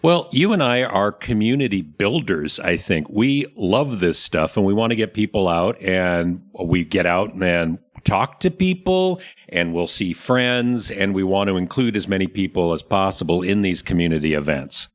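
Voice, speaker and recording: Man, American accent, low voice, podcast host, casual conversational delivery. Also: expressive, moderate pace, few pauses.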